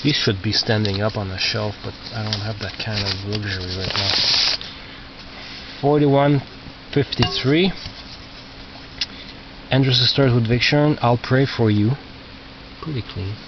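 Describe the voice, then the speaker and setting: A man who is not a native English speaker, casually talking through his collection as a record collector, halting and muttering as he reads out labels, on a cheap video camera microphone. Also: lowish voice, steady tone. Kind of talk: casual narrated show-and-tell of collection. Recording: muffled cheap video camera, loud noise.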